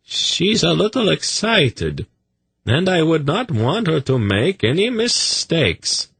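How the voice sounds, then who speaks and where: A man's voice: lowish voice, steady tone, drawn-out words, no echo, voice pushed up. A man voicing a nervous, fawning snake keeper in an audiobook, pleading, apologetic and coaxing in manner. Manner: wheedling, apologetic, deferential.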